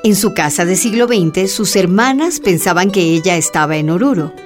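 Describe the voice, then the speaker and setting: A woman's voice: fairly low pitch, normal speed. Woman narrating a radio drama over music. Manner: steady storytelling.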